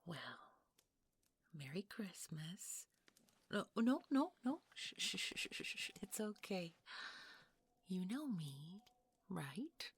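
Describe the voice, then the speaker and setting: A woman playing a sweetly menacing, possessive Mrs. Claus in an intimate audio roleplay, cooing and teasing in a breathy, husky, often whispering voice. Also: on the low side, big pitch swings, heavily acted. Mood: cooing, coy. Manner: slow, cooing, teasing, condescending.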